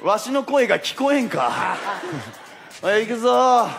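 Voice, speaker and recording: Gruff old coach, lively gravelly voice. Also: male voice, very high voice, measured pace, music in the background, full character voice. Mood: barking, impatient. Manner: rough, old-man dialect, barking orders. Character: gruff old male volleyball coach, crusty but encouraging. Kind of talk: theatrical stage play acting. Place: theatre stage.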